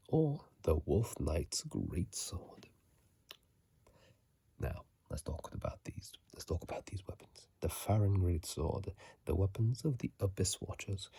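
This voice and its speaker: A man whispering casual ASMR game commentary in a hushed, breathy voice, close to a phone microphone. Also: sits low, no room sound. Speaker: ASMR video host.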